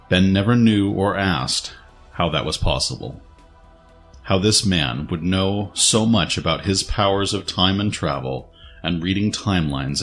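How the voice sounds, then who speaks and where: A man's voice: sits low, a bit bright. A man narrating a scripted dramatic story as its narrator.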